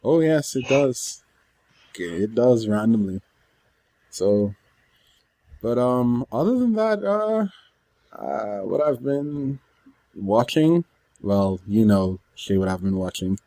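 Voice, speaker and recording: A man co-hosting a podcast, chatting casually in a rambling, halting way. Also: medium pitch, expressive, mellow, no echo. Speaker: podcast co-host. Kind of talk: casual chat about anime and games.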